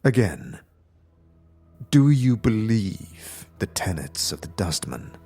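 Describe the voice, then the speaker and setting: A man's voice: on the low side, big pitch swings, slow, dark tone, breathy edge, soft background music, voice pushed up, exaggerated voice. A man playing a stern official of a death-worshipping order, solemn and ceremonial in a fantasy audio drama.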